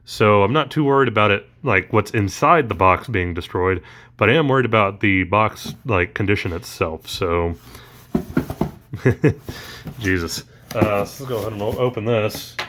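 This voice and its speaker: Low-voiced man rambling through an unboxing. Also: normal speed, a bit bright, slight background noise. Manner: rambling, lots of ums and uhs. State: voice recently recovered from allergies. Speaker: toy unboxing vlogger. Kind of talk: casual unboxing chat.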